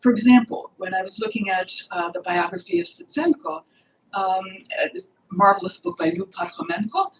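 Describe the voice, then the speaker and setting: Gravelly older woman at a podium. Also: mid-range voice, rough recording. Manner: earnest and expressive, with frequent pauses and fillers. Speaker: author presenting her book. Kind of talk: lecture talk at a book launch. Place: library hall with bookshelves, indoors. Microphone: podium microphone.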